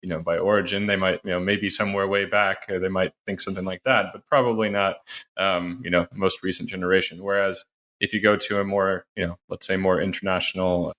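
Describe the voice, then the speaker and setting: A man hosting a podcast interview, speaking in a measured way with many hedging fillers. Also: low-pitched, little pitch movement, pushed and bright. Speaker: podcast host.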